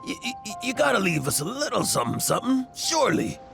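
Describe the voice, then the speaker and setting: An actor voicing a shifty, cowardly bandit boss in a video game, stammering and wheedling. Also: male voice, sits high, huge pitch swings, fast, creaky, exaggerated voice. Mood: nervous wheedling. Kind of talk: animated video game voice acting. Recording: loud game music behind.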